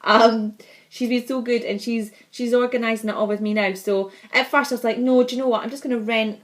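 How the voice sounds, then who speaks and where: Bubbly Scottish woman, higher voice. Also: fairly even intonation, a little fast. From Scotland. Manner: chatty, bubbly, laughs often. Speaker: vlogger. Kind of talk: casual chat to camera.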